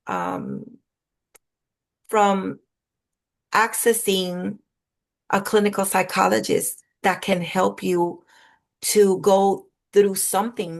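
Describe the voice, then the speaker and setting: A woman whose first language is Spanish, a clinical psychologist being interviewed on a video call, speaking in careful, list-like phrasing. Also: lowish voice. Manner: slow, thoughtful, list-like phrasing. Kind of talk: interview conversation.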